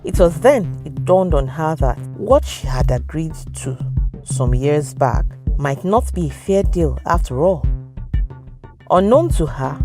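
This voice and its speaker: Nigerian female storyteller, low register, scripted folktale narration over soft music.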